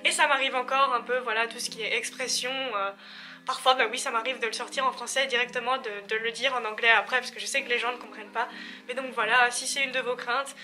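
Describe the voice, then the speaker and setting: A woman's voice: fairly high pitch, fairly even intonation, fast, pushed and bright. An eighteen-year-old woman from Belgium, an exchange student talking casually to camera, hesitant with many fillers and restarts. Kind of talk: casual vlog talk to camera. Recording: background music under voice.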